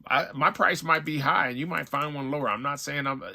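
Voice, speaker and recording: A man's voice: a little high, a little fast, vocal fry, pushed and bright. An American man chatting casually to viewers as he hosts a collection unboxing, rambling along in slangy, conversational phrases. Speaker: music collector and online store owner hosting an unboxing. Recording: noticeable background noise with clicks.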